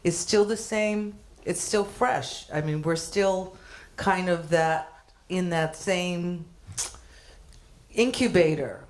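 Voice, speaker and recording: A woman's voice: on the low side, slow pace. A woman reflecting aloud to a gathering, searching for words. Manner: searching, reflective, many fillers. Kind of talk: speaking at an artist reception.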